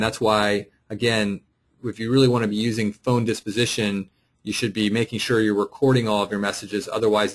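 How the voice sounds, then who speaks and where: Tutorial presenter: male with a fairly low voice, informal explanatory delivery, talking through a screen share step by step. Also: fairly bright tone. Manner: explanatory, thinking aloud while clicking through. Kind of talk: casual screen-share walkthrough, unscripted. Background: voice-over for a screen recording.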